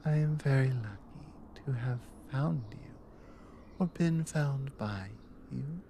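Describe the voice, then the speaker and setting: A woman playing a devoted, protective Nordic adventurer wife in an intimate ASMR roleplay, drowsy and tender, breathy and often slipping into a whisper. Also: very deep voice, slight background noise, full character voice. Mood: drowsy affection. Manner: slow, soft, tender with pauses.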